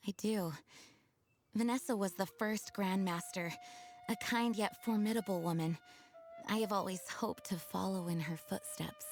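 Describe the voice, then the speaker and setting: A woman's voice: medium pitch, a little slow, soft background music, close to an everyday voice. A woman playing a noble, dutiful knight leader, speaking formally and solemnly in an anime video game. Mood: earnest.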